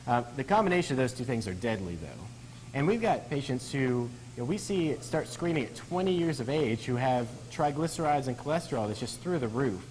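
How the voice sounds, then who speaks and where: A man's voice: mid-range voice, a little hiss or hum, rough recording. A man speaking as a doctor being interviewed, explaining things in a conversational way.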